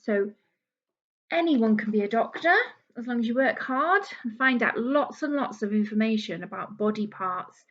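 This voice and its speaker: High-voiced woman reading to young children. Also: expressive, normal speed. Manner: explanatory, simple words, questions to children. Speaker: primary school teacher. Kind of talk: read-aloud storybook lesson for young children. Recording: dull lo-fi small camera recording.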